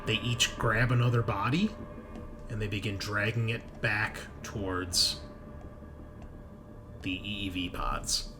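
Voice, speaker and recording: A man narrating a tabletop horror game as game master, improvising in halting, suspenseful phrases. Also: lowish voice, fairly even intonation, over music.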